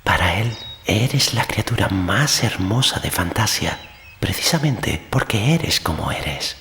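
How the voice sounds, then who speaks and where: Guy doing an earnest kid master, higher voice, lively range, kindly storybook tone. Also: a little slow, slight background noise. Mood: gentle, solemn. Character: earnest young boy master, gentle and kindly.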